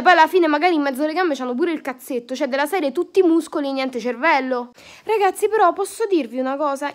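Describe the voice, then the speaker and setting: A young woman, ranting sarcastically to her phone camera from her bed with crude slang and lots of fillers. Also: high-pitched. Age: young adult. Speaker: vlogger. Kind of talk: casual comedic rant to camera. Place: indoors, bedroom, lying on bed. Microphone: phone camera at arm's length.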